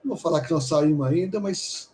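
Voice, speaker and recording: A man's voice: a little high, brisk, a little mellow. A man hosting a casual interview, prompting his guest with short friendly asides. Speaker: interview host.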